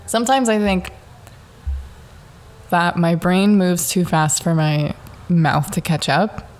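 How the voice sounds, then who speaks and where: A young woman with a fairly low voice talks on her own show. She rambles and mocks herself, jumping from one thought to the next. She is at home in a dark apartment. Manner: rambling, self-mocking, jumps between thoughts. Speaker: podcast host. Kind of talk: rambling solo self-reflection monologue.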